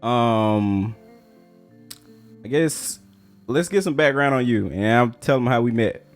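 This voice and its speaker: A man's voice: mid-range voice, slow, soft background music. An American man hosting a casual interview in a laid-back, slangy way. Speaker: interviewer, host.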